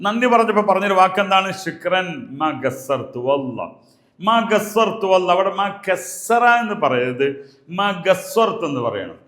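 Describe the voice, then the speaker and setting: Male language teacher, Malayali accent, high-pitched voice, explanatory delivery with deliberate repetition, recorded in a reverberant room. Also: sing-song, fairly bright tone. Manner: explanatory, repeats example phrases slowly and deliberately. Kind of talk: spoken language lesson. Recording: echoey room.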